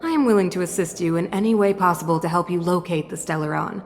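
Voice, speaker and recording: A woman plays a dignified female sovereign in a video game. She is formal, measured and guarded, on the low side in pitch, with a long hall reverb and faint noise behind her. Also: close to an everyday voice. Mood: gracious, formal. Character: dignified, guarded adult female ruler of a city. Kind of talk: English video game dub acting.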